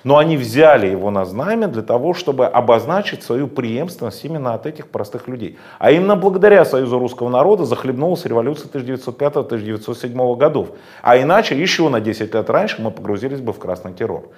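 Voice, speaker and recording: A man's voice: medium pitch, lively intonation, fast, few pauses, a little mellow. A man giving long, emphatic, lecturing answers in a political interview, with frequent hesitation sounds. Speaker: interview guest.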